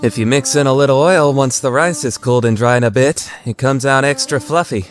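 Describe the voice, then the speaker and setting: A man's voice: mid-range voice, brisk, soft background music, close to an everyday voice. A man playing a blunt, matter-of-fact teenage schoolboy who explains things plainly in an anime fan dub.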